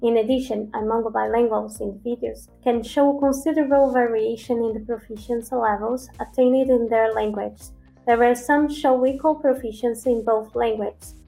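Brazilian woman explaining research calmly. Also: on the high side, gravelly, faint music behind, poor audio. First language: Brazilian Portuguese. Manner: measured, academic explanations. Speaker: podcast guest, language researcher. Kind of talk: podcast interview answers.

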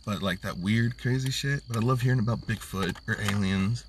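A man's voice: lowish voice, brisk, recorded from a distance, background noise. A man co-hosting a casual, boozy podcast chat, rambling in a laid-back way with plenty of swearing. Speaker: podcast co-host.

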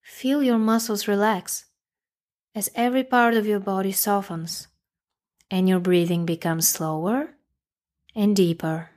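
A woman leads a guided meditation in a mid-pitched voice. Her delivery is calm and measured, leaving long pauses between short, simple instructions.

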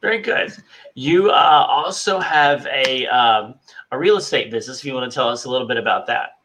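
A man hosting a podcast, asking slow, hesitant questions with fillers. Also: medium pitch, fairly bright tone, a little hiss or hum, low-quality recording. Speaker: podcast host. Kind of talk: interview host.

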